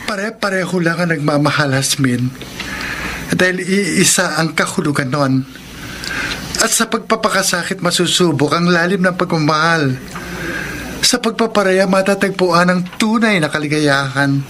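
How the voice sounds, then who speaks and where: A man playing an earnest, selfless friend giving counsel in a Filipino radio drama, with measured, philosophical delivery. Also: on the high side, background noise, close to an everyday voice. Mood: reassuring. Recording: music under the voice. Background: music.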